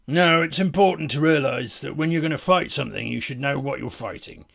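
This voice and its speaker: A man playing a battle-weary, proud fantasy warrior in a comic monologue, speaking in a slow, pompous, deliberate way. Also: mid-range voice, creaky, a bit bright. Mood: instructive, pompous.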